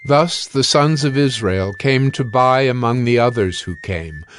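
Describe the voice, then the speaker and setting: Man narrating scripture calmly. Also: medium pitch, a little slow, slight background noise.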